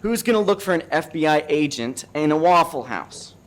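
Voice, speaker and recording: A man's voice: a little high, lively intonation, moderate pace, lightly acted. A man acting as a cornered undercover federal agent in a comedic radio play, earnest and exasperated. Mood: confessing.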